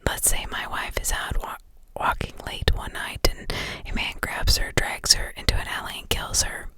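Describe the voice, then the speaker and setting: A woman's voice: normal speed, close to an everyday voice. A woman reading the part of a pointed, theatrical male defense lawyer in a whisper, with pressing rhetorical delivery. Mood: persuasive.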